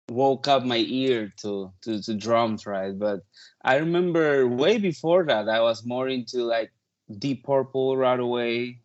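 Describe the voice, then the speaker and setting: Man, thirties, from Peru, native Spanish speaker, mid-pitched voice, chatty interview style, slightly dull lo-fi sound. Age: 30s. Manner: chatty, peppered with "like" and "you know". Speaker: interview guest, touring drummer. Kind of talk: casual interview chat.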